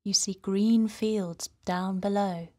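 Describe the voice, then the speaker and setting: A woman narrates a guided relaxation for children at a very unhurried pace. Her delivery is gentle and soothing, with a soft breathiness. She sits at a middle pitch and addresses the young listener directly. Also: steady tone. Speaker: children's guided meditation host.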